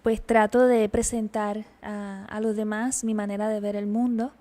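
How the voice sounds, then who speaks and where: A woman with a Puerto Rican accent answering interview questions as a guest poet, measured and reflective. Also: medium pitch, little pitch movement, dark tone. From Puerto Rico.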